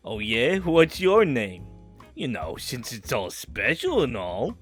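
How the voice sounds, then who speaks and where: A man's voice: medium pitch, wildly swooping pitch, slow pace, fairly bright tone, breathy edge, soft background music, exaggerated voice. A man playing a friendly, teasing crook in prison in an audio drama, talking in a playful, colloquial way. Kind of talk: audio drama character acting.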